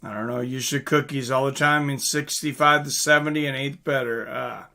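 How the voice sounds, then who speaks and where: A man's voice: medium pitch, steady tone, fairly quick, vocal fry, pushed and bright. A man giving casual live fight commentary in an informal, conversational way, like a livestream host.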